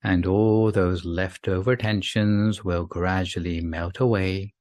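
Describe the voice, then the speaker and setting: A man leading a scripted sleep meditation in a soothing, gentle, unhurried manner, leaving long pauses between phrases. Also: low voice, steady tone, no room sound, clean studio sound. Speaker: meditation guide.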